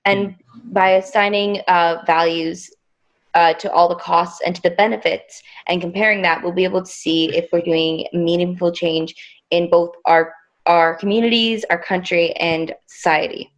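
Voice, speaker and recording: A young woman from Canada presents over a video call in a lowish voice. She speaks a little hesitantly, with fillers and quick apologies. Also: fairly even intonation. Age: young adult. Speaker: youth presenter.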